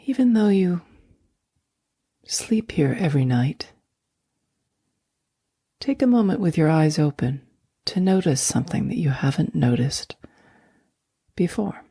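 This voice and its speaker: A woman guiding a sleep meditation in a soft, breathy near-whisper, speaking slowly and gently with long, soothing pauses. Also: sits low, lively intonation, no room sound, studio quality. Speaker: meditation guide.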